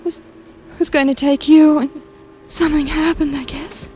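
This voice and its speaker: Woman playing a high-voiced, scheming carnival dancer. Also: level tone, normal speed, a little mellow, lightly acted. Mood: weak, fading. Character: worldly, scheming female carnival dancer, emotionally cornered. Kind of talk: 1940s-50s radio drama acting. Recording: old radio recording, faint music.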